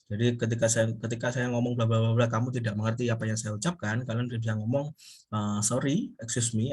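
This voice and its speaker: A man giving an informal recorded English lecture as a university teacher, explaining haltingly and often pausing to search for words. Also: medium pitch, breathy edge.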